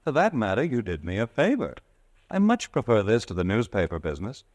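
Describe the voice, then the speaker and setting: A man's voice: on the low side, huge pitch swings, fairly quick, a little mellow. An actor playing a dead man's ghost returned to taunt his killer, smug and cold, in an old radio drama. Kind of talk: 1940s radio acting.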